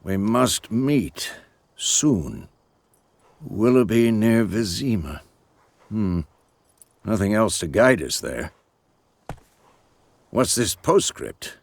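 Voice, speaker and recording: An actor playing an old warrior mentor in a video game, gruff but kindly, with a wry, musing, gravelly delivery. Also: male voice, on the low side, expressive, very slow, pauses often, no echo, exaggerated voice. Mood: musing, amused. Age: older. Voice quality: creaky, gravelly.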